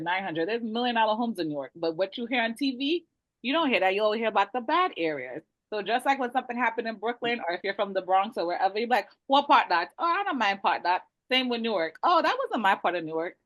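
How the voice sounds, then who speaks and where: A woman with a Jamaican accent, a realtor talking on a webinar panel over a video call in a blunt, animated, colloquial way. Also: mid-range voice, no room sound.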